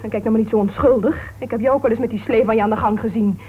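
A woman playing a sharp-tongued, argumentative crime writer in a Dutch radio play, speaking in a pointed, goading way. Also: a little high, steady tone, racing, husky. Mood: accusing, goading. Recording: old radio recording, narrow tinny band, hiss.

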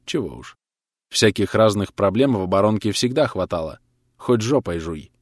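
Man voicing a low, grumbling old pensioner. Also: lively intonation, normal speed, dry recording, studio quality. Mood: resigned. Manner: gruff, grumbling, crude colloquial speech. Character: grumpy sarcastic male pensioner, retired engineer.

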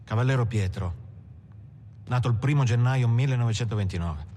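An actor playing a proud working-class bank robber from Turin, declaiming emphatically in a film monologue with long reverb. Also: male voice, mid-range voice, brisk, warm tone, natural voice. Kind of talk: dramatic monologue in a docudrama film. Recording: long hall reverb, faint noise.

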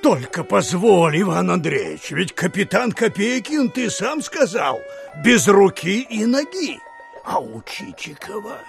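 A man's voice: sits high, big pitch swings, unhurried, gravelly, faint music behind, heavily acted. A man playing an earnest, opinionated official and former soldier in an audio drama. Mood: earnest, pondering. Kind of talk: theatrical audiobook radio play.

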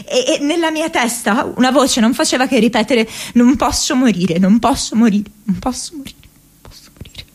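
An actress playing an earnest, haunted young American woman activist in an intimate radio drama monologue, speaking haltingly with hesitant pauses and repeated words. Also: high voice, slightly rough. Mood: frightened, urgent. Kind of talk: intimate radio drama monologue, diary read as spoken memories.

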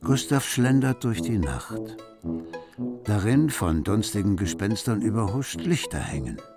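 An actor playing a wry, measured storyteller, narrating a literary radio drama with deliberate phrasing. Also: male voice, lowish voice, sing-song. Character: wry, measured male storyteller of a city night tale. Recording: prominent music behind the voice.

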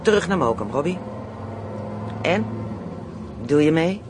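A boastful, playful crook in a radio play. The voice is on the high side, slangy and excitable. Clear vehicle noise rumbles underneath. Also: male voice, unhurried. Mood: excited, greedy. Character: brash, greedy, playful Amsterdam criminal plotting kidnappings.

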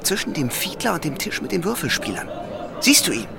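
Anxious young man, lively and creaky. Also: fairly high pitch, a little fast, music in the background, a crowd in the background. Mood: probing, urgent. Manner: urgent, hesitant warnings. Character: cautious, anxious young man, loyal companion to a reckless girl. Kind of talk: German radio play acting.

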